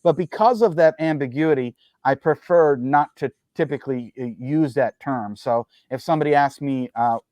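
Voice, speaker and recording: A man's voice: medium pitch, a little mellow, no echo. Male guest, New Jersey-raised, mid-range voice, cautious and hedging, dry recording. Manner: measured, qualifying, frequent ums and uhs. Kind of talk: careful conversational explanation.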